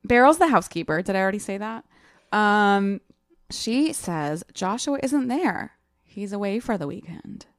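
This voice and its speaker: A woman chats casually as a podcast co-host in a very dry, close room. Her pitch sits in the middle range for a woman, and she laughs easily between thoughts. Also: expressive, normal speed, no room sound. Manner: laughs easily while talking. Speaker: podcast co-host recapping a novel. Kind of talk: casual chat with read-aloud passages.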